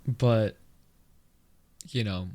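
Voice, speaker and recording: A man with a Filipino accent hosting his own podcast, talking through a personal topic in an earnest, conversational way and jumping up in pitch for emphasis. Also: very slow, darkish tone, no echo. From Philippines. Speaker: podcast host. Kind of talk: solo reflective podcast talk.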